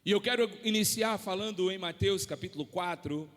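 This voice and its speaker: A man in his forties preaches with a very high voice and a Brazilian Portuguese accent. He stretches his emphatic phrases out with passion. His voice rings through a church hall with a long tail of reverb. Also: normal speed, a bit bright. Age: 40s. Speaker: pastor. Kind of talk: sermon.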